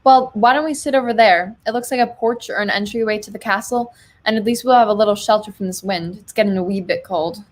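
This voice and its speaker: Friendly, prim teenage girl, medium pitch woman acting. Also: fast, natural voice. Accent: American. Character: friendly, very conservative eighteen-year-old girl. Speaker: actor in a play reading. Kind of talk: amateur script reading in American accent.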